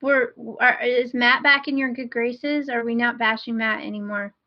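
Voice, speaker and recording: A woman's voice: on the high side, fairly even intonation, normal speed, dry recording, highs cut off. A woman co-hosting a podcast in casual, informal, self-deprecating chat. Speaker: podcast co-host.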